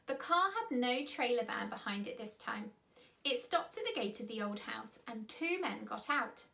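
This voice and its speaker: A woman's voice: on the high side, animated, moderate pace, vocal fry, pushed and bright. A woman reading a children's story aloud expressively, with dramatic emphasis. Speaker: storyteller, school reader.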